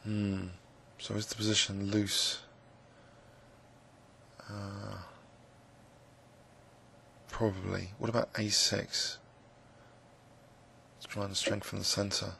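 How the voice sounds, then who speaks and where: A man with a croaky, gravelly voice, musing hesitantly with many fillers as he commentates his own blitz chess game. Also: fairly low pitch, completely flat delivery, takes it slowly, pauses often, sharp tone, slight background noise. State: croaky voice, clearing throat. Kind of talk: live game commentary, thinking aloud.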